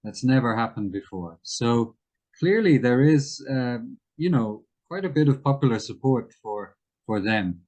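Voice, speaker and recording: A man giving careful, measured explanations of current affairs as a guest in an interview. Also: medium pitch, expressive, a little mellow.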